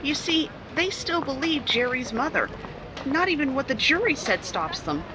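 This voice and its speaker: A woman's voice: high voice, very animated, moderate pace. A woman playing a downcast, wronged young woman in a 1950s-style radio drama. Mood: dejected. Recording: noisy, city traffic behind.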